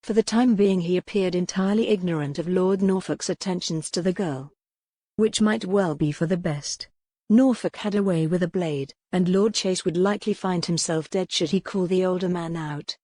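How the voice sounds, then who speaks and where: Woman, audiobook narrator, slightly deep voice, scripted dramatic storytelling, historical romance, dry sound. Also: a little mellow, breathy edge, no echo.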